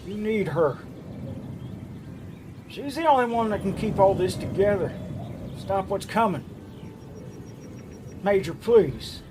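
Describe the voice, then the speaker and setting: A man's voice: high-pitched, lively intonation, slow pace, pauses often, noisy background. A man playing a desperate, self-sacrificing army sergeant in an audio drama, urgent and strained. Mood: urgent, resigned.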